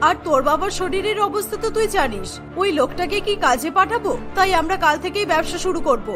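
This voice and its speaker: A woman's voice: very high voice, lively intonation, fast. A woman voicing a caring, firm older mother-in-law in a cartoon, earnest and admonishing, practical about money. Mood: firm, reassuring. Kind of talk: Bengali cartoon dialogue. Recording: music behind the voice. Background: music.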